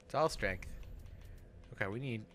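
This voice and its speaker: A man's voice: fairly low pitch, lively intonation, moderate pace, background noise. A man hosting a let's play, giving casual gaming commentary.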